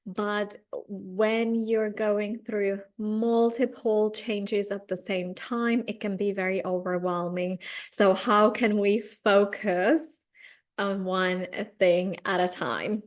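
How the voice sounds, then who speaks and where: A woman's voice: mid-range voice, steady tone. A woman from Finland with a Finnish accent, a career coach giving a live-streamed training talk and speaking straight to her viewers in an encouraging way. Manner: unhurried, encouraging, addresses viewers directly.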